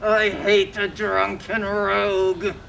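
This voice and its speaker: A woman's voice: mid-range voice, flat delivery, gravelly, fairly bright tone, slight background noise. A woman playing a drunken, injured, grumbling knight in Shakespearean comedy, muddled and abusive. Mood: grumbling, scornful. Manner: slow, groaning, muddled. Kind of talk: amateur Shakespeare comic acting.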